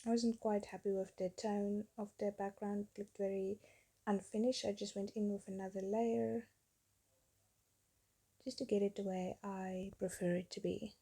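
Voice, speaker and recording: Gravelly, mellow-voiced woman narrating her painting. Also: medium pitch, flat delivery, moderate pace. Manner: rambling, chatty, self-deprecating. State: losing her voice, getting sick. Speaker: artist narrating a painting video. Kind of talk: casual voice-over narration. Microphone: phone.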